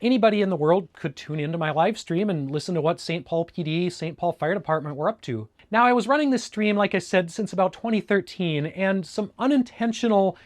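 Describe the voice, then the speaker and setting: A man's voice: sits high, brisk, few pauses. A middle-aged man from Alaska with an American accent, talking casually and informatively as a hobbyist video host in a conversational, explanatory way. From Alaska, United States. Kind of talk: casual informative vlog talk.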